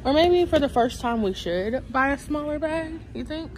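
A woman's voice: high-pitched, expressive, moderate pace. Chatty woman vlogging in a pet store. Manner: chatty, thinking aloud, many "like"s. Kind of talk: casual shopping vlog chat. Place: pet store aisle, indoors. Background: store music playing.

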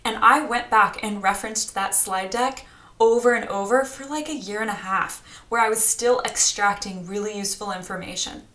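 A woman in her thirties coaching on grant writing in a casual, energetic talk, gesturing as she speaks from a small home studio. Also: medium pitch, moderate pace, echoey room. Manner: energetic and chatty, talks with her hands. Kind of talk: direct-to-camera teaching vlog.

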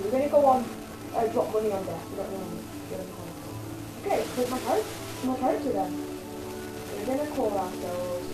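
A young woman giving casual, rambling commentary as she plays a video game at home. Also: medium pitch. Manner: rambling, filler-heavy chatter. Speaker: let's play host. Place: home room, filmed from a TV screen. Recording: echoey room with game music.

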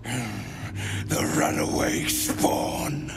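A snarling guard beast from a video game, servile and threatening. It speaks in halting phrases, very slowly, in a breathy growl thick with creak. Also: male voice, medium pitch, over loud music, full character voice. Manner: halting, broken simple phrases.

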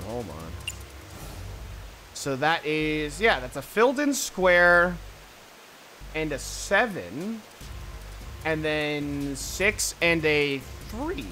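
A man's voice: fairly high pitch, pushed and bright. A man streaming a puzzle adventure game, casually commenting, reading text aloud and muttering to himself.

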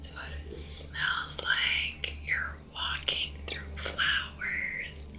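A young adult woman whispering soothingly and repetitively into a close microphone as an ASMR host playing a spa attendant. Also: very slow. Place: small indoor room at home. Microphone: close sensitive condenser mic, earphones worn.

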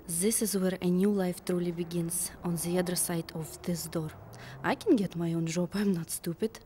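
A woman voicing a nervous inner monologue, quiet and self-reassuring, partly whispered. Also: on the low side, steady tone, moderate pace, slight background noise, natural voice.

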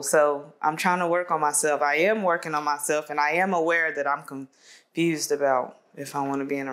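A woman's voice: lowish voice, fairly bright tone. Twenties woman talking openly on a lav mic, lots of "like" and "i don't know", laughs a lot, seated in a studio. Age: 20s. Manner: candid, laughs often, many fillers. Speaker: guest. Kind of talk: casual conversation. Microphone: lapel mic.

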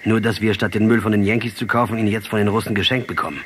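A man's voice: on the low side, fairly even intonation, quick pace, fairly bright tone, slight background noise, natural voice. A male actor in a radio drama playing a defiant, sardonic revolutionary leader.

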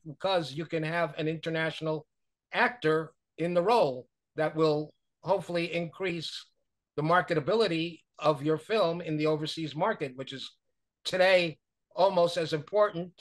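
An older man from New York, a guest talking loosely over a video call, with many fillers and restarts. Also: on the high side. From New York, USA. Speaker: guest, author and publicist. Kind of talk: conversational interview. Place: small room at home. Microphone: video call webcam.

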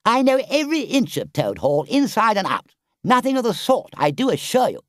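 An excitable storybook toad, pitched very high and swooping about. He is boastful and airy, exclaiming everything with great drama. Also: moderate pace, dry recording, studio quality. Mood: airy, dismissive.

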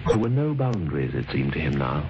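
An actor playing a wry, insinuating storyteller, narrating an old radio drama. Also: male voice, extremely low pitch, big pitch swings, brisk, background noise, exaggerated voice. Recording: dull old radio recording, music behind.

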